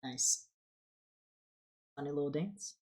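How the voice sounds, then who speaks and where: A young Australian streamer chats loosely on a live stream, with an Australian accent. The voice sits at a middling pitch. They ramble, restart sentences and laugh often. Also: takes it slowly, darkish tone. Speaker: streamer, channel host. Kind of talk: casual stream chat.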